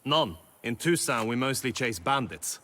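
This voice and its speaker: A man's voice: mid-range voice, animated, normal speed, fairly bright tone, lightly acted. A man acting earnest, swooping chivalrous young knight. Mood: earnest, solemn. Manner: solemn, formal, archaic phrasing. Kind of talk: video game cutscene acting.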